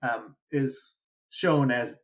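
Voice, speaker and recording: A man co-hosting a podcast, chatting animatedly with frequent restarts and excited jumps in pitch. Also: drawn-out words. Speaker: podcast co-host. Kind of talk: casual chat.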